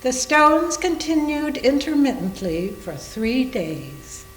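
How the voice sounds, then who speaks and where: A woman reading literary narration aloud in a measured, deliberate way, as part of a readers theater. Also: sits high, sing-song, a little hiss or hum. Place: indoor room, live library reading. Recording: echoey room.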